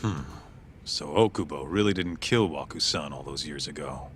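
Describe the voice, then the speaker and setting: Casting: cocky, good-humored game heavy, deep voice over prominent music. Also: male voice, moderate pace, fairly bright tone. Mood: relaxed, eager. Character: brash, jovial tough guy, loyal sidekick. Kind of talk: English video game dub acting. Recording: music behind the voice.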